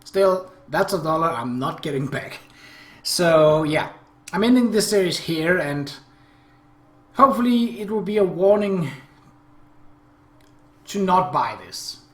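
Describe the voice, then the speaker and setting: Higher-voiced man hosting game playthrough, echoey room. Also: expressive, unhurried, long pauses, fairly bright tone. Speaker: gaming video host. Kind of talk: visual novel playthrough with narration and commentary. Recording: roomy echo, clear background noise.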